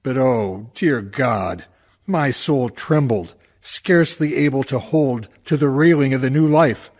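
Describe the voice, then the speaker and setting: Man as weary country doctor, lovelorn, earnest, slow, medium pitch, radio drama monologue. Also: a little mellow, lightly acted. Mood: tender, anguished. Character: dead kindly town doctor, weary and lovelorn.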